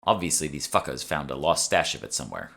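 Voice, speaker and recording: A man acts out a brusque female alchemist from an urban fantasy audiobook. She is irritable and sardonic, explaining things curtly with a sharp, forceful edge. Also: rumbling low, sing-song, fast, vocal fry, heavily acted. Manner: curt, sardonic, sweary. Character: brusque, irritable young woman, know-it-all alchemist partner.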